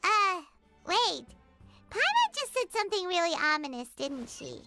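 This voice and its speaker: Squeaky, excitable little fairy companion. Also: female voice, unhurried, creaky, heavily acted. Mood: uneasy. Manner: refers to self in third person, chatty. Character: small childlike fairy companion, chirpy and excitable. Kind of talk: video game character acting. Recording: music behind the voice.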